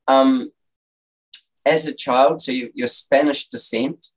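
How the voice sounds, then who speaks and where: A man's voice: medium pitch, steady tone, slow, slightly rough, poor audio. A man hosting a design interview podcast, chatty and rambling, restarting his questions with lots of ums. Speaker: podcast host. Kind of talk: relaxed interview chat.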